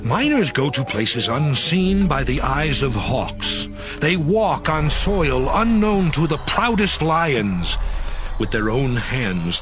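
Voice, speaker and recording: An actor playing a righteous, once-proud man in a dramatized scripture reading, solemn and expressive. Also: a little high. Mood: solemn, reflective. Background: background music.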